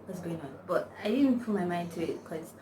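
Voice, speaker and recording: A woman's voice: on the low side, lively intonation, a little fast, warm tone. A young woman with a Nigerian accent, chatting to camera and rambling through stories with lots of "like" and slang. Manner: rambling storytelling, constant "like", slangy. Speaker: vlogger. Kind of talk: casual chat to camera. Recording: distant mic, noisy background.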